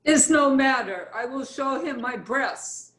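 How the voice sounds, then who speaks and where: A woman's voice: high-pitched, expressive, takes it slowly, very noisy. A woman playing a blunt, brazen Eastern European immigrant in a play reading, speaking broken English with a thick accent. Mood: blunt, confident. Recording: roomy echoing sound.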